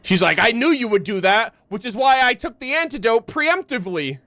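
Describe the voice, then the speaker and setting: Animated, high-pitched young male streamer. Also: brisk. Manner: slangy, incredulous, joking. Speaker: game streamer. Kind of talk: casual live gameplay commentary.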